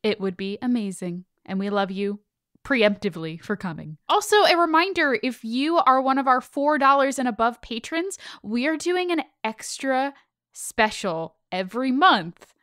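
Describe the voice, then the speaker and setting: A woman co-host with a high, very animated voice that swings up and down. She chats casually, and the sound is close and dry, with hardly any room in it. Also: moderate pace, no room sound. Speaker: podcast co-host. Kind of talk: casual chat.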